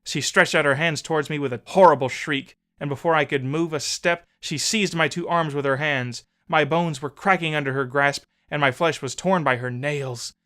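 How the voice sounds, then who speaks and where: A man narrating an old memoir as a dramatic audiobook, solemn, measured and theatrical. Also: on the high side, fairly even intonation, pushed and bright.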